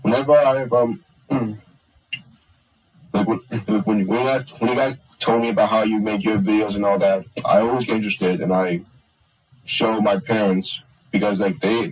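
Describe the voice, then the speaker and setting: Young man, casual stream chat, stumbling restarts, slightly low, husky, far from the mic. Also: steady tone. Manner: halting, many restarts and fillers. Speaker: streamer and hobby voice actor.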